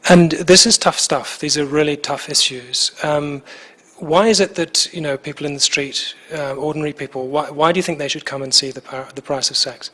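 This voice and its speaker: A man's voice: on the high side, steady tone, moderate pace, pushed and bright. A man working as an interviewer, asking questions conversationally with informal, hesitant phrasing.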